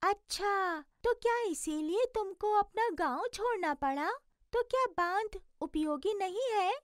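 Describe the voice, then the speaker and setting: A woman's voice: very high-pitched, animated, a little slow, no echo, clean studio sound. A woman voicing a chirpy, curious little blue bird in an educational cartoon, asking many questions.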